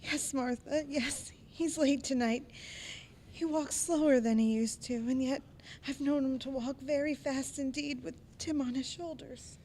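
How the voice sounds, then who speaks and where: A woman playing a grieving, tender mother in a radio drama, speaking softly and sorrowfully. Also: high-pitched, steady tone, unhurried, a little hiss or hum. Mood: subdued sorrow. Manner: soft, sorrowful.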